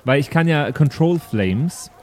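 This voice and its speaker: A man's voice: a little high, animated, measured pace, a little mellow. A man taking part as a player in an improvised tabletop role-playing show, chatting casually. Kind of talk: casual improvised game chat.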